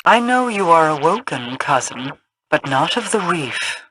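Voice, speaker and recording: A woman voicing a regal, enigmatic queen in a video game, formal, solemn and deliberate. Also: sits low, sing-song, fairly bright tone, slightly rough, exaggerated voice, rough recording. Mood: solemn, commanding.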